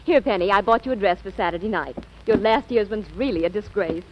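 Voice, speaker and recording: A woman acting as a mild, placid mother in 1940s radio comedy. She speaks in a medium-pitched, motherly voice, captured on a dull vintage broadcast recording. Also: brisk, a little hiss or hum, close to an everyday voice. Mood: mild, unruffled. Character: gentle, placid mother of a teenage girl.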